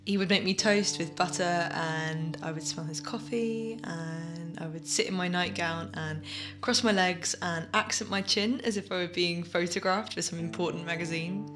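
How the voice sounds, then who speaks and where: An actress playing a wistful, reflective daughter in an audio drama monologue, telling her memories haltingly. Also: fairly low pitch, steady tone, over music, lightly acted. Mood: fond, nostalgic. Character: wistful daughter recalling her adored theatre-critic father.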